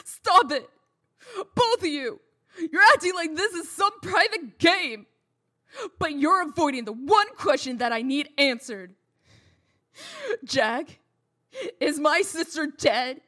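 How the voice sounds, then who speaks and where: Woman playing a proud, slow-speaking fairy-tale heroine. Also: sits high, expressive, bright, forceful tone, heavily acted. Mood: distraught, angry. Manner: formal, firm, measured. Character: composed, proud woman holding back worry. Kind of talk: comic dub drama acting.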